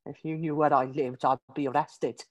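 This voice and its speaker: A woman's voice: low voice, steady tone, moderate pace, no room sound, muffled recording. A woman acting in a drama scene as a cocky, evasive young male drug dealer, dismissive and mocking, with a British accent. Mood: cagey, bargaining. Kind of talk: acted scene from a drama showcase.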